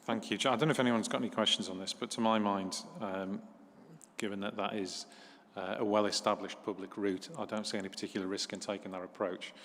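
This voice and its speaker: Man, meeting chair, lowish voice, echoey council chamber. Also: a little fast. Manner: plain, relaxed, asides to viewers.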